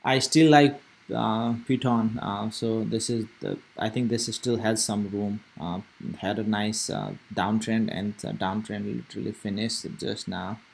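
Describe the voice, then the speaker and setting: A man's voice: lowish voice, steady tone, unhurried, creaky, a little mellow, a little hiss or hum. A young man from Nepal, giving casual live commentary on stock charts and rambling aloud with many fillers and restarts. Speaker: stock trading streamer.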